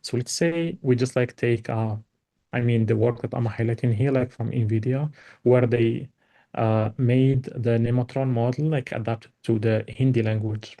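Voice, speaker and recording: Soft-toned Moroccan-born man giving a talk. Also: medium pitch, fairly even intonation, a little slow. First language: Tarifit. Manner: many fillers and restarts, heavy use of "like". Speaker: invited speaker. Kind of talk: academic talk presenting slides.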